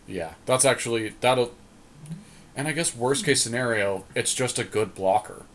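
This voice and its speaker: A man co-hosting a podcast, giving casual game commentary as he deliberates aloud and hedges. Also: mid-range voice. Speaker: podcast co-host. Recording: clearly audible background noise.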